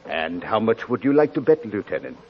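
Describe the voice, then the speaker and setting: An actor playing a suave, courteous master gambler dealing cards in a 1940s radio drama, polite and measured. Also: male voice, on the low side, big pitch swings. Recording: dull 1940s radio recording, crowd murmur behind.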